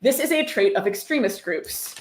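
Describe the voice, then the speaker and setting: A woman's voice: medium pitch, lively intonation, normal speed. A woman hosting a mocking livestream book reading, sarcastic, irreverent and profane, breaking off into casual commentary. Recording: echoey room.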